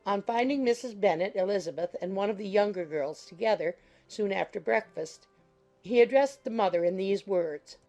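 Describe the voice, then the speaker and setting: A woman narrates a classic novel in a medium-pitched voice with a noticeable creak. The reading is scripted and literary, with faint music behind it. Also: moderate pace, mellow. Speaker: audiobook narrator.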